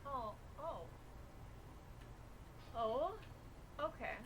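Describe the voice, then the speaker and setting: Lady gamer, kinda high voice, lots of oh no's, noisy background. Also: extremely slow. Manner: reacts with startled exclamations. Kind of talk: casual gaming chat while playing.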